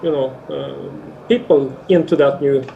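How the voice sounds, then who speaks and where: A man speaking as a panelist on an online panel, measured, with many filler sounds. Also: medium pitch, gravelly, warm tone, dull audio.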